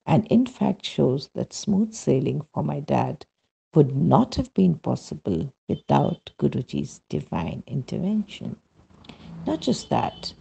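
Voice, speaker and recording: A man narrates a written testimony in a heavily creaky, raspy voice. His delivery is measured, with pauses between phrases, yet his pitch moves in a lively way as he tells the story. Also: poor audio. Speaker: reader of a devotional testimony. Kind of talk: scripted narration, read aloud.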